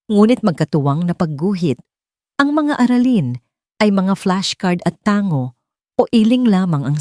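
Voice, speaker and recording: A woman's voice: on the low side, warm tone, no room sound, studio quality. A woman with a Filipino accent reading a scripted story as a dramatic audiobook narration.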